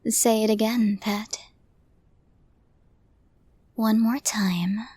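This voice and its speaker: A woman playing a possessive, lovestruck yandere crime boss in an intimate ASMR audio roleplay, speaking in a breathy, deliberate, formal way. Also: on the high side, steady tone, mellow, full character voice. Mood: tender, possessive, whispery. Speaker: voice actor in romantic audio roleplay.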